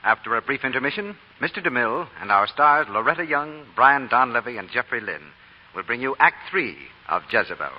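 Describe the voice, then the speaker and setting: A man's voice: mid-range voice, expressive, fairly quick. A man announcing a 1940s radio show in a scripted style. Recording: muffled, noisy vintage radio broadcast.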